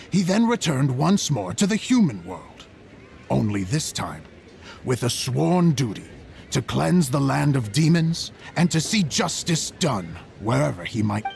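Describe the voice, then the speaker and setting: An actor playing a storyteller in a video game, reciting a heroic legend in a measured, formal, theatrical manner. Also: male voice, mid-range voice, gravelly, a little mellow, slight background noise. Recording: music under the voice.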